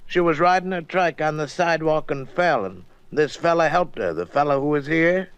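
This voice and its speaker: A man's voice: fairly high pitch, lively intonation, normal speed, smooth, dry recording, natural voice. Guy acting a chatty small town records clerk, rambly n forgetful, old 1950 radio play, kinda dull muffled sound. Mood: helpful, apologetic. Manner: rambling, absent-minded, drawling out words. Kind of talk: 1950s radio drama acting.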